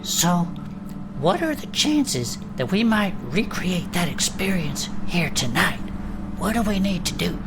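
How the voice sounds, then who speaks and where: A woman's voice: on the low side, big pitch swings, measured pace, full character voice. A woman playing a folksy, reassuring adventurer who leads an eerie investigation in a fantasy audio drama. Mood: intrigued. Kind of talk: audio drama acting as field interviewer. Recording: clear ambient noise behind.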